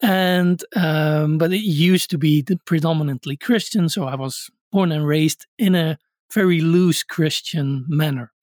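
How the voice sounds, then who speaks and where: A man from Belgium, a scholar of religion, answering interview questions in a thoughtful, measured way. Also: a little high, a little mellow, no room sound, clean studio sound.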